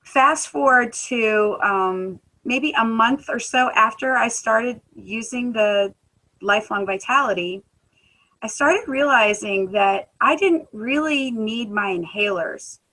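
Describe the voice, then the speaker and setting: A woman who sings in a band, giving a conversational personal testimony at a wellness talk, earnest, with many filler pauses. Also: fairly high pitch, bright, forceful tone, rough recording. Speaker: guest speaker, musician.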